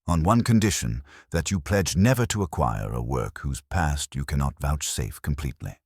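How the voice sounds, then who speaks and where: A crisp aristocratic lady, as a man reads her. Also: a bass voice, animated, normal speed, no room sound, clean studio sound, heavily acted. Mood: stern. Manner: crisp and clipped.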